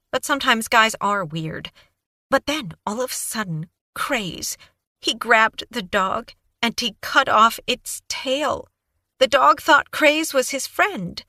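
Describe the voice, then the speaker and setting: A woman voicing a flustered, tearful young woman who babbles through her story in a high, breathless voice for an audiobook. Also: sharp tone, no echo, clean studio sound, heavily acted. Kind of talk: audiobook character voice.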